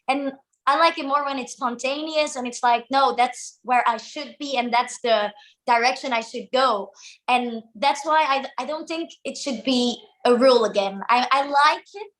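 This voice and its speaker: High-voiced bubbly young woman from Flanders. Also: sharp tone, rough recording. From Flanders, Belgium. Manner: bubbly, giggly, restarts often. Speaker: singer-songwriter guest. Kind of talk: casual interview answers.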